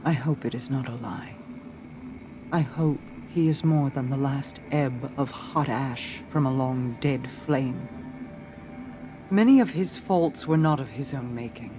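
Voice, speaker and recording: A woman voicing a calm, commanding alien queen in a video game cutscene, telling a solemn story in a measured way. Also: rumbling low, over music. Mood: wistful. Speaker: queen character. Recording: game audio with loud score behind.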